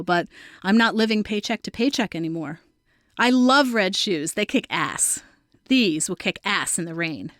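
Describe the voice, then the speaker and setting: A woman's voice: medium pitch, no room sound, clean studio sound. A woman of about forty reading her own scripted personal essay as a narrator. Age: around 40.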